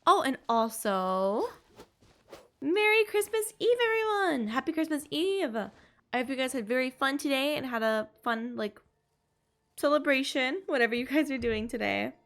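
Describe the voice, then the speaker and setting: High-voiced woman streaming a video game. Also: lively intonation, moderate pace, fairly bright tone. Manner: chatty, exclaiming, with playful sound effects. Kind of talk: casual live gameplay commentary to viewers.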